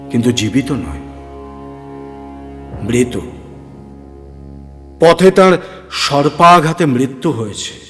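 Slow, high-pitched male horror narrator, heavy reverb. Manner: theatrical, deliberate phrasing with pauses. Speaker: story narrator. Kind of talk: dramatic scripted horror story narration. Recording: long hall reverb, music underneath.

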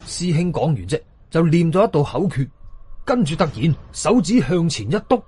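A man's voice: fairly high pitch, sing-song, moderate pace, mellow, breathy edge. A man with a Hong Kong Cantonese accent narrating a horror story dramatically, as an audio storyteller.

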